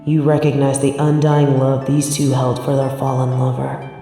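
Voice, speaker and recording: A woman narrating a scripted story in the second person, in a measured storytelling manner. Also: very deep voice, little pitch movement, warm tone. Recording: very echoey, long reverb, music behind. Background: music.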